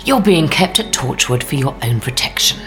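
Grave, guarded female agent, audio drama; deep register, expressive swings, prominent score behind. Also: moderate pace, faint music behind, heavily acted. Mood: earnest. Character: guarded Torchwood agent holding a captive, earnest.

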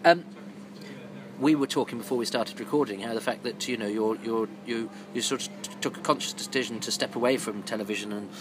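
A man hosting an interview podcast, asking questions in a halting way and often repeating words as he starts. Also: medium pitch, background noise. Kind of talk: conversational interview.

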